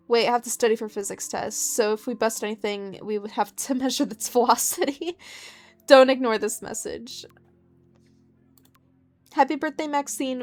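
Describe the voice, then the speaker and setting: A woman hosting a gaming video, reading game text aloud and commenting in an informal, chatty way. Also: fairly high pitch.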